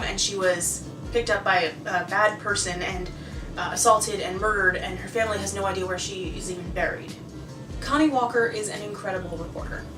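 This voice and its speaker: An American woman hosting a casual, scripted recommendation vlog in a conversational, enthusiastic way. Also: medium pitch. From United States. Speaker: video host. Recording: roomy, distant mic, music behind.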